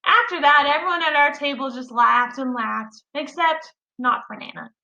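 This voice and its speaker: Bright, high-voiced woman reading a children's story. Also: moderate pace, highs cut off. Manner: expressive, childlike enthusiasm in narration. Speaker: teacher reading aloud. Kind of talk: animated children's story read-aloud. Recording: roomy echo.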